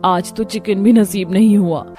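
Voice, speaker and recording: A woman's voice: mid-range voice, fairly even intonation, moderate pace, dark tone, natural voice. A woman playing a fretful, competitive rich younger daughter-in-law in a cartoon family story. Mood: exasperated. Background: background music.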